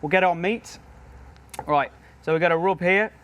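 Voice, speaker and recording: Upbeat British chef with a higher voice. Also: male voice, normal speed, a bit bright. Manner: upbeat, chatty, demonstrating as he cooks. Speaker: professional chef. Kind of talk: cooking demonstration.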